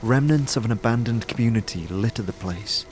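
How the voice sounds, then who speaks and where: A man's voice: medium pitch, a little fast, a little mellow, soft background music. A man delivering scripted second-person narration as the narrator of a fantasy story.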